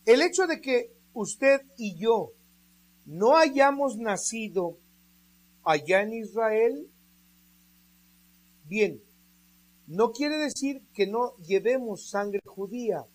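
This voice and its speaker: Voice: medium pitch, expressive, slow, long pauses, rough recording. A radio preacher delivering a sermon, speaking emphatically and building the message through rhetorical questions.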